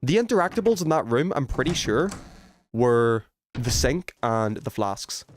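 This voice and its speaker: Animated man livestreaming a video game. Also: medium pitch, measured pace, soft background music. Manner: excitable, slangy, thinking aloud. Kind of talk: casual gaming commentary, chatting with viewers.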